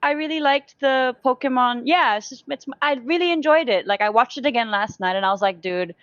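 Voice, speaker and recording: A woman's voice: sits high, brisk, a bit bright, no room sound, highs cut off. A woman co-hosting a casual movie chat in an informal, enthusiastic, slangy way. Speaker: podcast livestream co-host.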